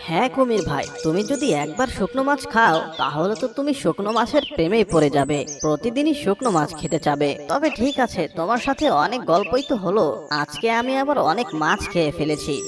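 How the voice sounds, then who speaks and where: Stork, kids' cartoon actress: chatty, knowing, animated, slightly distant recording with birdsong and music. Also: female voice, medium pitch, fairly quick, natural voice. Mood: friendly. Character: friendly, clever, boastful stork. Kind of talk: Bengali children's cartoon voice acting.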